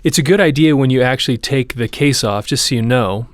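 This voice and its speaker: A man's voice: mid-range voice, brisk, no echo. Man giving a casual how-to, dry close sound. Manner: informal, plain-spoken step-by-step explaining. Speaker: repair tutorial presenter. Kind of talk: casual hands-on how-to demonstration.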